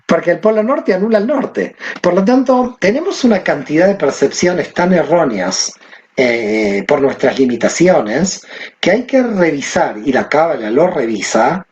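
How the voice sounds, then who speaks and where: Male guest teacher from Argentina, animated and expressive, on a muffled recording. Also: fairly high pitch, measured pace, slight background noise. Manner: animated, rhetorical questions. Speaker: guest, Kabbalah teacher and author. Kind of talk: conversational explanation.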